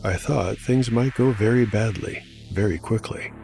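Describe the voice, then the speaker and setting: A man's voice: fairly low pitch, unhurried, gravelly, a little mellow. A man narrating his solo tabletop game, rolling dice aloud and musing on the results. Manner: rolls dice aloud, reflective asides. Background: music.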